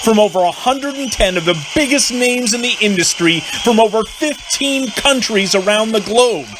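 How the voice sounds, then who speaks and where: A man reading an energetic advert in a hyped, salesy delivery. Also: sits high, moderate pace. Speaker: ad announcer. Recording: noisy ad audio.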